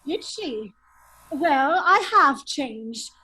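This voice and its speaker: A woman's voice: high voice, lively intonation, very slow, pushed and bright, full character voice, low-quality recording. A woman reading the part of a daring English baronet with a secret double life in a radio drama. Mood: confiding, wry. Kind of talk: table read of a 1930s-style radio drama.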